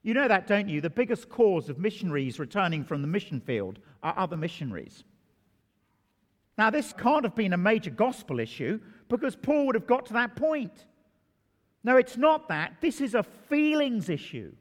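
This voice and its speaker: A man's voice: high-pitched, very animated, normal speed. A man preaching a sermon in a church, telling stories and stressing key phrases with emphasis. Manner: storytelling, emphatic. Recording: long hall reverb.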